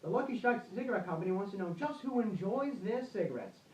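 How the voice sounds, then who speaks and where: A man's voice: high voice, big pitch swings, fast, a little hiss or hum. A young man playing a gushing, folksy host in an amateur 1920s radio broadcast. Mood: peppy sales pitch. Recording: roomy, distant-miked amateur recording, thin sound.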